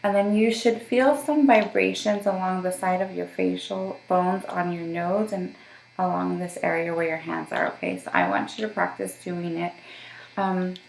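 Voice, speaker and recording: A woman's voice: lowish voice, vocal fry, reverberant, slight background noise, poor audio. A woman working as a voice therapist, giving patient step-by-step instructions for voice exercises and checking in often. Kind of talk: guided voice therapy session.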